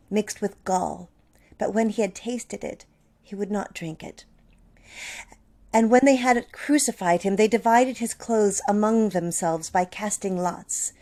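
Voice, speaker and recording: A woman narrating a Bible passage in a church dramatic reading, in an even, deliberate way. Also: medium pitch. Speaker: scripture reader.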